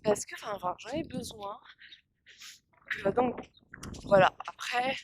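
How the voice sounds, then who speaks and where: A woman's voice: a little high, unhurried. A young woman vlogging casually to her phone on a windy outdoor walk, tired and stuffy from pollen allergies, rambling with many fillers and restarts. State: congested from pollen allergy, coughing. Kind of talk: casual vlog chat to camera. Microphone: phone held at arm's length.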